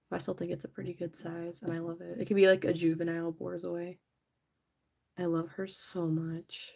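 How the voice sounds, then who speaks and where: A young woman hosting a casual livestream, chatty and giggly, her voice a little raspy from allergies. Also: lowish voice, a little mellow. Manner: chatty, giggly, rambling with many "like"s. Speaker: livestream host. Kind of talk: casual livestream chat while painting a figure. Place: indoors at home.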